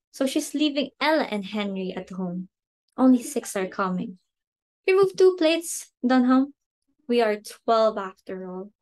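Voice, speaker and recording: A woman playing a flustered, snobbish society hostess and mother in an amateur dramatic reading, exclamatory and imperious. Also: a little high. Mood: annoyed.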